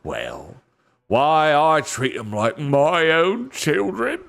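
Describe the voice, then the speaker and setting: A man reading aloud puts on a greedy pirate slave dealer, pitched well above his own low voice. He fawns and wheedles in a coarse, folksy drawl, always angling for a sale. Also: big pitch swings, very slow, creaky, a bit bright, exaggerated voice. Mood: wheedling.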